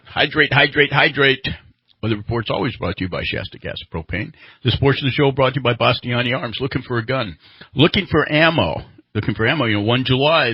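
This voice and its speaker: A male radio host with a husky, rough-edged voice at a middle pitch. He talks conversationally into a studio microphone and leans on repeated words. The sound is dry and a little dull. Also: no room sound. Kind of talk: live talk radio hosting with sponsor reads.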